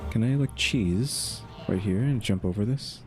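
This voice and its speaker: Low-voiced man doing casual game commentary. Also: unhurried. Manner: informal, thinking aloud. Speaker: gaming channel host. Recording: game music behind the voice.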